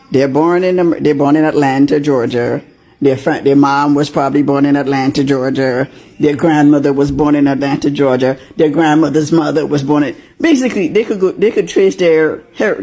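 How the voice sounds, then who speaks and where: A man's voice: a little high, creaky, mellow. A man from Grenada making his case in a casual reaction clip, emphatic and full of rhetorical questions. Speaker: commenter in a reply clip.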